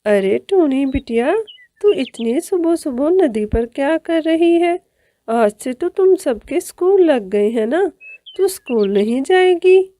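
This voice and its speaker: A woman's voice: high voice, expressive, slow, warm tone, no room sound, voice pushed up. A woman voicing a protective, outspoken older auntie bird in a cartoon, motherly and indignant. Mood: concerned. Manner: indignant, motherly, plain-spoken. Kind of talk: Hindi cartoon story voice.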